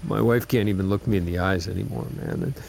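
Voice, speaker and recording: An actor playing a disillusioned, sardonic head engineer in an audio drama, anguished and foul-mouthed, in a naturalistic style. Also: male voice, low-pitched, a little fast, vocal fry, a little mellow, a little hiss or hum. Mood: weary, worried. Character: bitter, disillusioned male head engineer, married father.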